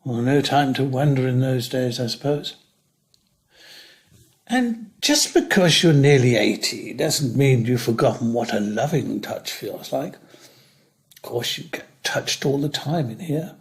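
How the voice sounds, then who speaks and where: Man acting slow, wry elderly care-home resident. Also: medium pitch, expressive. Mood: wistful, wry. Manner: reflective, wry, measured with pauses. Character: lonely, wry retired male teacher near eighty in care home. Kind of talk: dramatic monologue reading.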